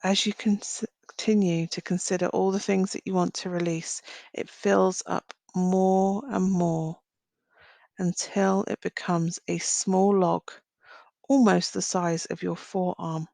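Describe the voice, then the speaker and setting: Woman, meditation guide, lowish voice, noticeable vocal fry, gentle delivery, long pauses, dry close sound. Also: flat delivery, dry recording. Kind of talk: guided visualisation, slow soothing narration.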